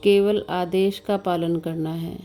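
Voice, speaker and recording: A woman with a mellow, even voice guides a relaxation practice over music. She speaks calmly as a meditation instructor, unhurried and soothing, leaving long pauses between short instructions. Her intonation stays very flat and steady. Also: fairly low pitch. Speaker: yoga nidra meditation instructor. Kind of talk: slow guided meditation narration.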